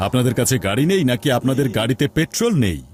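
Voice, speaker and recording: Man playing fussy resort manager, formal, courteous, very animated intonation, cartoon dub. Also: mid-range voice, rapid-fire, over music, natural voice. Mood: politely pedantic. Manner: formal, pedantic, repeats himself.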